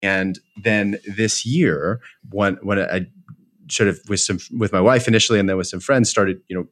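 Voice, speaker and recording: A man's voice: fairly low pitch, moderate pace, fairly bright tone. A man chatting casually as an interview guest, self-deprecating and enthusiastic, often restarting his sentences as he tells personal stories. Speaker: podcast guest, company co-founder. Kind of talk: casual chat, personal storytelling.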